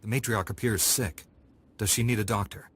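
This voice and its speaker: A man's voice: lowish voice, steady tone, normal speed, fairly bright tone, slightly rough. A man voicing a young, action-minded Jedi knight who speaks directly and dryly, in a studio video game cutscene. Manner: direct, dry. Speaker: Jedi apprentice. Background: orchestral game music.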